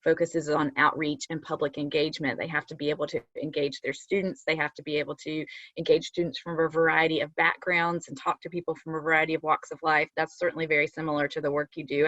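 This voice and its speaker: Woman with a low voice on dull, dry audio. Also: steady tone, fast talker, hardly any pauses, a bit bright, no room sound. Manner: fluent, encouraging. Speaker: panel host. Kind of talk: career advice talk.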